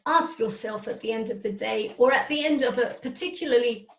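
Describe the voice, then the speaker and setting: A woman whose first language is Hungarian presents a live webinar over a video call, explaining each step and pausing to ask "okay?". Her voice sits a little high. The audio is roomy and somewhat dull. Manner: explanatory, often checks in with "okay?".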